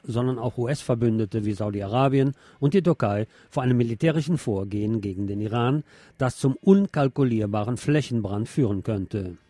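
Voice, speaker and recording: Male radio host, mellow tone, sardonic delivery of read-out articles, music underneath. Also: medium pitch. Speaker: radio host reading news and press commentary. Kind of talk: reading articles aloud with sardonic asides.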